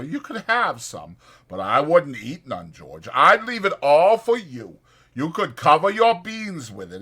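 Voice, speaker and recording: A man's voice: on the high side, sharp tone, husky, pitched above the performer's own voice, heavily acted. Man acting a childlike, pleading simple man. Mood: timid pleading. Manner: naive pleading in simple rural American speech. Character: childlike, simple-minded, timid man, eager to please.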